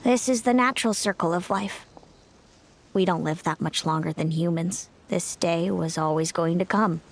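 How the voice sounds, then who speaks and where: A woman voicing a composed, sorrowful young woman in a video game, speaking in a solemn, gentle and reflective way. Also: fairly low pitch, moderate pace, slight background noise, close to an everyday voice. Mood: solemn, resigned. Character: Selena, composed, sorrowful long-lived sister, a young woman.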